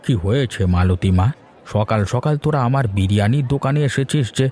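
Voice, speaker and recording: A man's voice: mid-range voice, expressive, a little fast, background noise, lightly acted. A man voicing a kindly, humble old village food seller in a cartoon story, speaking with grandfatherly, folksy affection. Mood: welcoming.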